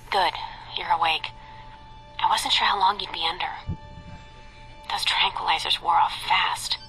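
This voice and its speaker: A woman playing a cool, guarded female spy operative in a video game, with a crisp, dry delivery. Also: lowish voice, steady tone, a little slow, sharp tone, soft background music, rough recording. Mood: cool, matter-of-fact.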